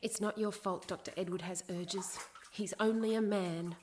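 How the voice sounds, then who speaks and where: An actress playing a chatty, deferential young maidservant in a period radio drama, with a folksy, easily flustered way of talking. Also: female voice, mid-range voice, steady tone, moderate pace, a little mellow, natural voice. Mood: flustered, confiding.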